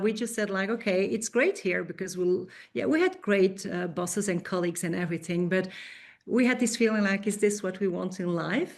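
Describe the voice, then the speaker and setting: Woman in her fifties from Belgium, friendly coach recounting her path, middle pitch. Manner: friendly, storytelling, some restarts. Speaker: guest, coach and speaker. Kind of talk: podcast interview, personal story.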